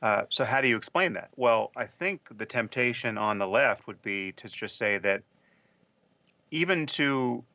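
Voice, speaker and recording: Male podcast voice, measured and careful, bright with fry, lo-fi clip. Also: mid-range voice, lively intonation, no echo. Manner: measured, careful, frequent restarts. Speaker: podcast discussion participant. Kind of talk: thoughtful conversation.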